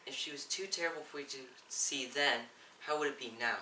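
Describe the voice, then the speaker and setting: A woman's voice: a bass voice, brisk, pushed and bright. A young woman in an amateur stage play acting a cautious, skeptical parent, shaken and reluctant. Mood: reluctant, dismayed. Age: young adult. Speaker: student actor. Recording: noisy echoey room from far off.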